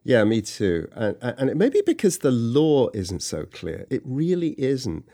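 A man hosting a podcast, narrating and chatting in a measured, conversational way. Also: mid-range voice, wildly swooping pitch, warm tone. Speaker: podcast host. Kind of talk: scripted narration and chat.

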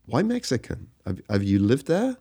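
A man hosting a podcast, reading scripted narration and asking curious, gentle questions. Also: mid-range voice, wildly swooping pitch, moderate pace, husky. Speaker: podcast host.

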